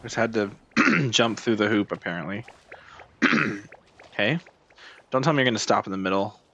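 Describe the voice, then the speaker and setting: A man's voice: fairly low pitch, animated, moderate pace, gravelly, a bit bright, dull audio. A man giving casual gameplay commentary as a streamer, muttering to himself as he plays. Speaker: video game streamer. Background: game music.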